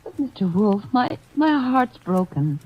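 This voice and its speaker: An actress playing a fragile, frightened young heiress with a tremulous voice, in 1940s radio acting. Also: female voice, mid-range voice, very animated, measured pace. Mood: distressed. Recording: dull old radio broadcast recording.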